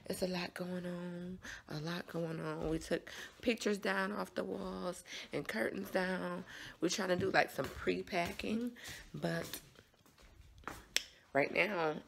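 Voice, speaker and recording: An American woman with a scratchy, raspy morning voice, chatting casually to her vlog viewers in a folksy, repetitive way. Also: fairly low pitch, steady tone, takes it slowly. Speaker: vlogger.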